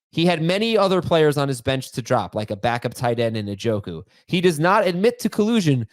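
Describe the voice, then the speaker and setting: A man's voice: fairly high pitch, dry recording. A man hosting a podcast with a nasal voice, chatting casually and reading listener emails out step by step with self-deprecating humor. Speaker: podcast host.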